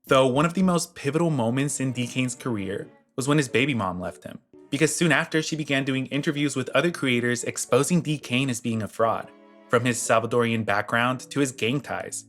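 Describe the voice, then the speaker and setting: A man's voice: mid-range voice, a little fast, a bit bright, soft background music. A man reading a scripted commentary voice-over, blunt and sarcastic. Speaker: commentary channel host.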